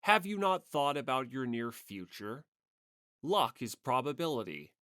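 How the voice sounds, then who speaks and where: Narrator putting on a low, stern, sceptical schoolmate. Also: sing-song, measured pace, fairly bright tone, clear, resonant tone, no room sound, clean studio sound. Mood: stern, doubting.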